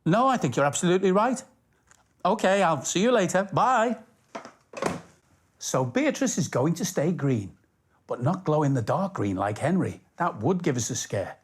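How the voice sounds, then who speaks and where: A man presenting and reading stories for young children, speaking directly to the listener in an animated way. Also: a little high, normal speed, a little hiss or hum. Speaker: children's storytime host, station worker character. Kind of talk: children's storytelling, scripted presenting to young viewers. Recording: music behind the voice. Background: background music.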